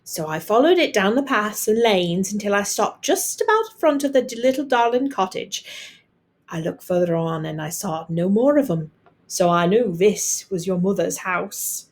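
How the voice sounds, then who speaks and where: A woman's voice: mid-range voice, animated, moderate pace, darkish tone, lightly acted. An Irish father from a storybook, played by a woman, spins his grand tales with fond, theatrical flair. The delivery lilts along like a romantic fireside yarn. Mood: fond storytelling.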